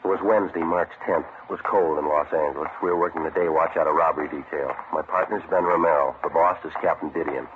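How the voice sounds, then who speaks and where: An actor playing a clipped, deadpan American detective sergeant in an old radio police drama, businesslike and courteous. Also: male voice, very deep voice, fast, few pauses. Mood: flat, factual. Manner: clipped, deadpan, terse sentences. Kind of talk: 1950s radio police drama acting and narration. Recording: muffled vintage radio with faint hiss.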